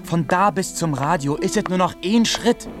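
Male actor as young Berlin worker, radio play: idealistic, high male pitch, music underneath. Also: moderate pace, natural voice. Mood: earnest. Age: young adult. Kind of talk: radio drama acting.

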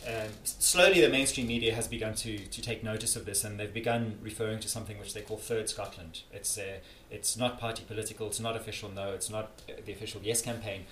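A man's voice: fairly low pitch, fairly even intonation, fast, few pauses, a bit bright. A man with a South African accent, answering interview questions earnestly and emphatically, often restarting his sentences. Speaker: interviewee, campaigner. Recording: echoey room with faint noise.

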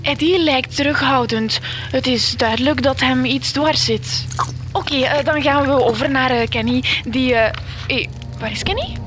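A woman's voice: high voice, moderate pace, over music, close to an everyday voice. An actress playing an earnest, self-important young woman who narrates her audio diary in a solemn, formal way in a radio comedy drama.

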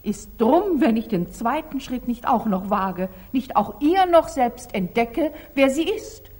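An actress playing an anxious, scheming household companion in a 1940s German radio drama, theatrical and conspiratorial, with a husky voice. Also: female voice, fairly high pitch, animated, measured pace, a little mellow. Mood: conspiratorial, determined.

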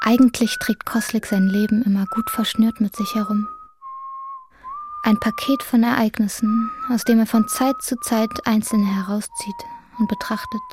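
A woman's voice: a little high, huge pitch swings, normal speed, background noise. A woman narrating a literary radio drama from a script.

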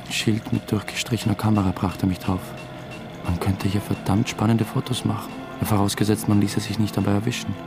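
An actor playing an uneasy, obsessive theatre dramaturge, confessing hesitantly in a radio drama. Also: male voice, lowish voice, flat delivery, fairly quick, vocal fry. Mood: brooding, longing. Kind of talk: first-person radio drama narration. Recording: music under the voice. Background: music.

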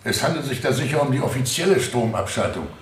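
A male actor plays a dignified judge in a radio courtroom drama. His medium-pitched voice is courteous and probing, ringing in a roomy space. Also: expressive, fast, lightly acted. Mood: deliberate, curious. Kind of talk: 1970 German radio drama acting.

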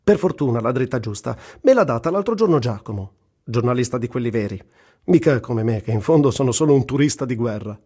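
A man's voice: medium pitch, animated, fairly quick, dark tone, breathy edge. An actor reciting as a wry, earthy, self-mocking male war journalist, in a dramatic literary radio-theatre reading. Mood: ironic, animated. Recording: voice over prominent music. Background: music.

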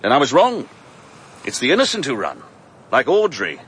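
An actor playing a brusque, blunt police inspector who scolds, then grudgingly praises in a radio drama. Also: male voice, medium pitch, wildly swooping pitch, a bit bright, slight background noise. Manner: brisk, blunt, gruffly approving. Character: brusque, gruff but fair police inspector.

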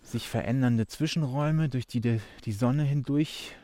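A man making poetic improvised observations in measured, fragmentary descriptive phrases. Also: mid-range voice, dark tone, no room sound. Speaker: participant in a sound-art walk.

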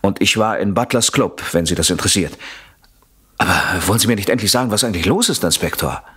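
Husky, edgy gentleman, very animated. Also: on the low side, fast, exaggerated voice. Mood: defensive, impatient. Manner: agitated, polite, blustering. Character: edgy, defensive gentleman husband under police questioning. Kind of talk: German radio crime drama acting.

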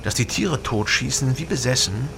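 A man's voice: medium pitch, expressive, moderate pace, vocal fry, background noise. An actor playing a reserved, probing man in a naturalistic radio drama, with an understated, dry delivery. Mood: probing, restrained. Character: probing, reserved man investigating, also narrating.

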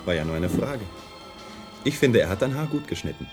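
Calm evasive husband, dry small talk, radio play, music underneath. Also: male voice, on the low side, fairly quick. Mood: placid, dry. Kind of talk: German radio play acting.

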